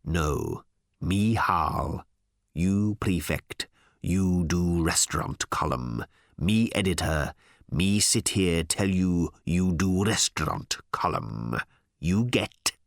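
A man voicing a smooth, cold, pompous editor-in-chief in an audiobook, speaking in a deliberate, condescending manner. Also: sits low, animated, no echo, studio quality, pitched below the performer's own voice, exaggerated voice. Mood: slow, mocking.

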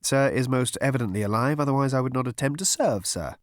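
A man's voice: mid-range voice, fast talker, no echo, clean studio sound, lightly acted. A man voicing a small, wizened green alien waiter in an audiobook, formal, polite and very distinctly enunciated, with patience stretched toward sarcasm. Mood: tight-lipped, sarcastic. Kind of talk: audiobook character voice.

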